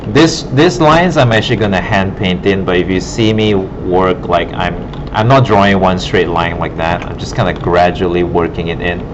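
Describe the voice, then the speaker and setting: Deep-voiced man teaching, muffled noisy recording. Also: moderate pace, few pauses. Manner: casual, thinking aloud, checks in with "right?". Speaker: digital art instructor. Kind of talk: informal classroom demonstration, narrating while working. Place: classroom. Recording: muffled, broken audio with loud room hum.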